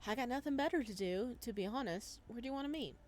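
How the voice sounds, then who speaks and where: A woman's voice: on the high side, expressive, fast talker, a little mellow, a little hiss or hum, pitched above the performer's own voice, natural voice. A woman voicing an easygoing, caring young friend with a casual, breezy manner in a visual novel. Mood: friendly, casual.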